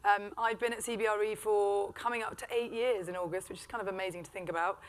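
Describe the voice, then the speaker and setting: A woman's voice: mid-range voice, fairly even intonation, fast talker. A woman with a British accent speaking as a guest on a conference panel, friendly and chatty. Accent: British English. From Britain. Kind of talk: casual panel talk. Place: conference stage.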